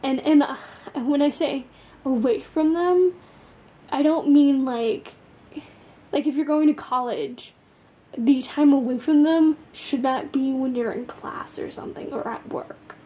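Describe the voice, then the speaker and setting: Sleepy gravelly woman doing a tarot reading, blunt and sweary, kinda high voice, soft dark tone. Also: measured pace, lo-fi sound. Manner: blunt, swears casually, lots of fillers. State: tired. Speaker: tarot card reader.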